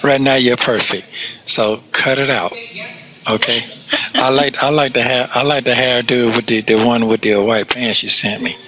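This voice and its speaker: A man's voice: medium pitch, steady tone, normal speed, bright, forceful tone, low-quality recording. A man chatting casually on a personal call, repeating phrases for emphasis and stammering into his sentences. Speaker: caller on a prison call. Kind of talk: casual private phone chat.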